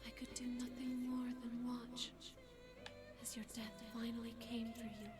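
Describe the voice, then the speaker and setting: A woman's voice: on the high side, completely flat delivery, a little slow, over music, lightly acted. A woman playing a devoted, guilt-ridden female attendant in a fantasy video game, speaking solemnly and earnestly. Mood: grieving, remorseful. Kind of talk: dramatic video game cutscene acting.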